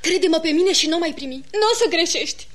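An actress playing a young, lovelorn and jealous signorina in a theatrical radio comedy style. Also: female voice, extremely high pitch, fairly bright tone. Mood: guarded. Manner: exclamatory, theatrical.

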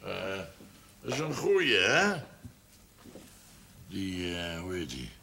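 An actor playing a gruff, stubborn older brewery man in a television drama, talking bluntly and haltingly in mutters. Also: deep voice, wildly swooping pitch, background noise, exaggerated voice. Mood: muttering, satisfied. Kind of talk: 1970s television drama acting.

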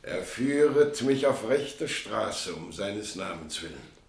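Grave, slow, gravelly father. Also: fairly low pitch, lively intonation, full character voice. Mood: anguished, fervent. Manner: solemn, halting. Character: grave, anguished husband and father, brooding. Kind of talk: German radio play acting.

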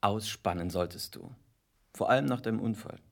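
Sensible, concerned friend, lowish male voice, gently admonishing, matter-of-fact, radio drama dialogue. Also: normal speed, creaky. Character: concerned, sensible male companion giving advice.

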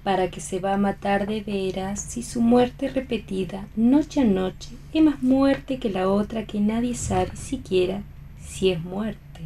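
Radio play part: skeptical stagehand played by a woman, dark mellow tone, slow measured questioning, some impatience. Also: medium pitch, slight background noise, natural voice. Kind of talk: read radio theatre.

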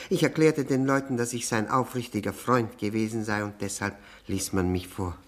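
An actor playing a bitter cuckolded widower in a 1960s German radio drama, fawning and self-abasing, then needling with mock courtesy. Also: male voice, mid-range voice, a bit bright. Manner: mock-courteous, insinuating, with drawn-out words and needling questions.

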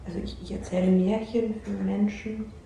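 A woman's voice: medium pitch, flat delivery, moderate pace, vocal fry, dark tone, lightly acted. An actress playing an unsettled, insecure young woman in a naturalistic radio play, talking hesitantly and interrupting herself. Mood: unsure, hesitant. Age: young adult. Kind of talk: naturalistic radio drama dialogue. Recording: roomy sound with faint noise.

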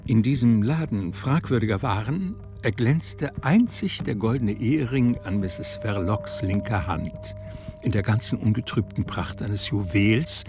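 A man's voice: lowish voice, animated, a little slow. A man reading literary narration for a radio drama. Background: music.

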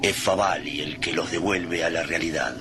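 An actor playing a grave, literary narrator of a doomsday tale in a radio drama, telling it solemnly. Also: male voice, sits low, fairly even intonation, normal speed, over music, natural voice.

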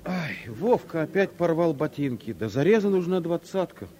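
An actor playing a shy, put-upon middle-aged office clerk who mumbles hesitantly. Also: male voice, a little high, sing-song, normal speed, dark tone, a little hiss or hum. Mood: sheepish. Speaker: timid senior economist.

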